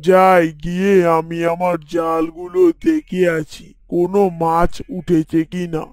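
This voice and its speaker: High-voiced man acting a village fisherman father. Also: little pitch movement, unhurried, no echo, studio quality, natural voice. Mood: gentle, caring. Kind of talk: dubbed moral story cartoon acting.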